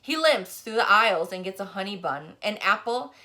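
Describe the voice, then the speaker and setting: Bright-voiced woman reading aloud, very expressive. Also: mid-range voice, moderate pace. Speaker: audiobook reader. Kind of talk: expressive scripted first-person narration.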